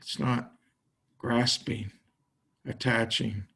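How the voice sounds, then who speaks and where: An older man leading a guided meditation, speaking in slow, spacious phrases with long pauses. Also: mid-range voice, little pitch movement, gravelly, a bit bright, slightly rough. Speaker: meditation teacher.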